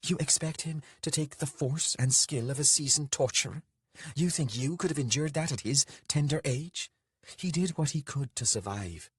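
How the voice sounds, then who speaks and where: A man's voice: medium pitch, expressive, unhurried, breathy edge, no room sound, studio quality, voice pushed up. A man voicing a fierce, protective woman in a fantasy audiobook, intense and earnest. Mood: angry, warning.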